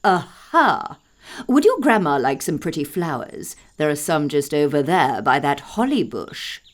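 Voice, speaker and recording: A woman voicing a mean, sly, greedy male wolf in a children's fairytale, with a deep, wheedling, falsely courteous delivery. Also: very animated, measured pace. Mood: sly, coaxing. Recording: music under the voice.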